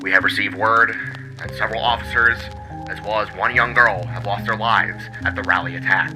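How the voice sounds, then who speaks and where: A man's voice: lowish voice, steady tone, brisk, over music, lightly acted, poor audio. An actor playing a grave male news anchor delivering dire bulletins in an audio story. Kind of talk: dramatic news bulletin acting.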